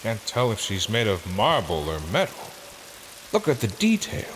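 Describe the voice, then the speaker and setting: A middle-aged man playing a gruff, wry older treasure hunter in an audio drama, with a dry wisecracking delivery. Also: fairly low pitch, wildly swooping pitch, normal speed, a bit bright, a little hiss or hum. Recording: long hall reverb under music.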